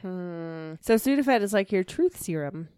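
A woman's voice: fairly low pitch, lively intonation, unhurried, a little mellow, clear, resonant tone, dry recording, studio quality. A woman podcast co-host casually telling a true-crime story to friends, with jokey, self-mocking banter.

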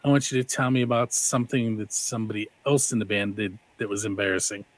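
A man's voice: fairly low pitch, fast talker, no room sound. Man in his forties hosting. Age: 40s. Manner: playful, relaxed questioner. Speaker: podcast host. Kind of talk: casual interview. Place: recording studio.

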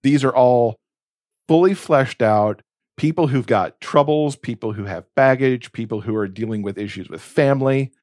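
A man's voice: medium pitch, no echo, clean studio sound. A man chatting casually and effusively about books as a podcast co-host, with emphatic delivery. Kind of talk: casual enthusiastic book chat.

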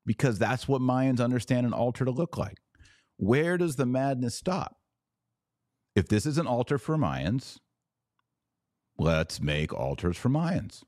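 A man's voice: mid-range voice, very animated, a little slow, long pauses, darkish tone, no room sound, studio quality. A man hosting a live podcast, reading viewer comments and giving emphatic, opinionated commentary with rhetorical questions. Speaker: podcast host.

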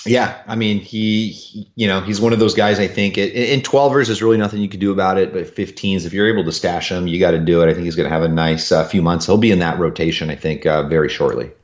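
A man giving informal sports analysis as a podcast co-host, halting to think as he goes, on a lo-fi connection. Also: sits low, fairly even intonation. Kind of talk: casual sports talk, analysis. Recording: dull, lo-fi voice connection.

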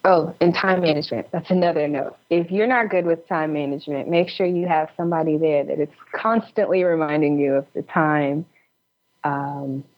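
A woman's voice: low voice, normal speed. A woman giving casual advice as an interview guest, heard over a dull lo-fi call. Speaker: interview guest, actress and filmmaker. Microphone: remote call.

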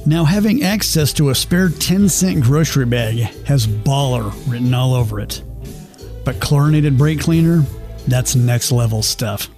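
A man playing a wry narrator in a radio comedy adventure, telling the story in a measured, mock-literary way. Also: medium pitch, animated, a little mellow, soft background music. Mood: mock-dramatic.

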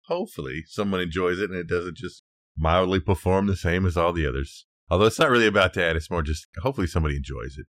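A man's voice: deep voice, fast talker, a bit bright, dry recording, clean studio sound. A man co-hosting a podcast in casual chat, with a wry, understated way of putting things. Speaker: podcast co-host.

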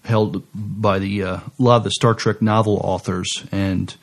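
A man's voice: on the low side, level tone, a little slow. A man chatting casually as the host of a podcast. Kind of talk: casual chat.

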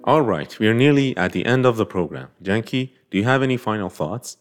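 A man's voice: lowish voice, expressive, brisk, faint music behind. A man hosting a podcast, affirming his guests and leading into segments. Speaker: podcast host.

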